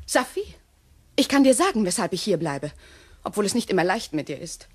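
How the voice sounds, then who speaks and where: An actress in a radio play playing a bitter, defiant young stepdaughter. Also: female voice, mid-range voice, sing-song, fairly quick, breathy edge. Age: young adult.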